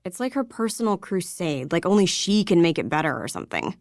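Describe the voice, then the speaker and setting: An actress voicing a candid small-town young outsider in a video game, talking casually and frankly. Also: female voice, fairly low pitch, sing-song, a little fast, no room sound, clean studio sound. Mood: casual, reflective.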